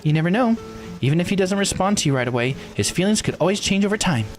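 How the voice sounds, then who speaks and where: A man voicing an earnest, expressive young character in a visual novel, talking in an animated, conversational way. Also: high-pitched, quick pace, faint music behind. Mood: earnest encouragement.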